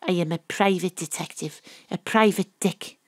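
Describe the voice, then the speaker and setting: A woman's voice: on the low side, normal speed, dry recording, clean studio sound, lightly acted. A woman playing a wry, poetic private detective with a Welsh accent, narrating an audio drama in a theatrical, literary way. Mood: wry, reflective. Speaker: narrator and lead character. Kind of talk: first-person audio drama narration and acting.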